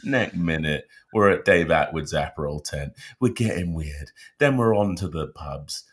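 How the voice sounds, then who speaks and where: A man's voice: extremely low pitch, lively intonation, unhurried, slightly rough. A man, telling rambling, self-mocking stories as a podcast co-host. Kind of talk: casual podcast chat.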